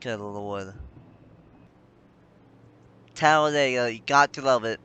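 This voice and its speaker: Man calling a sim race, bright tone. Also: mid-range voice, takes it slowly, clean tone. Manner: rambling, self-correcting live call. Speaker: sim racing commentator. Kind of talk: play-by-play race commentary.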